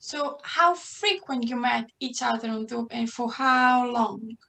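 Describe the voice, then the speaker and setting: Quick-speaking woman lecturer. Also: fairly high pitch, creaky, bright, forceful tone. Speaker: accounting and finance lecturer.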